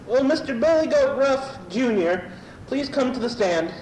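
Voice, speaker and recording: A man playing a solemn court bailiff in an amateur radio comedy, loudly declaiming. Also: extremely high pitch, animated, a little slow. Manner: loud declaiming. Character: loud, solemn court bailiff swearing in witnesses. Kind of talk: amateur old-time radio comedy acting. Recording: echoey, slightly noisy room.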